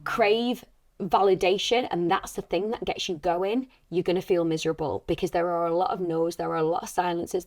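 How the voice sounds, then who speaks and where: A woman's voice: lowish voice, a little mellow, dry recording. A British woman, an actor and coach, giving earnest, encouraging advice in a conversational interview.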